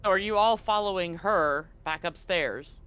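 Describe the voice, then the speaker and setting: Bright, higher-pitched male game master. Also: measured pace, clear, resonant tone. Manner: casual, profane. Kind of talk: improvised narration of a tabletop game. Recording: music behind the voice. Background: music.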